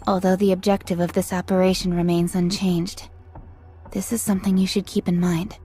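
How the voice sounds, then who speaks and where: An actress playing a serious, composed young woman squad leader in a video game, speaking in an earnest, measured way. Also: on the low side, little pitch movement, mellow, natural voice. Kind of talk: English video game dub acting. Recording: music behind the voice.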